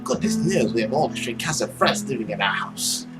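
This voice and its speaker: Woman as mild father, visual novel character, exasperated, grumbling, gentle scolding, music behind. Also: rumbling low, animated, fairly quick, voice pushed down. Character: exasperated, mild-mannered father of a young girl.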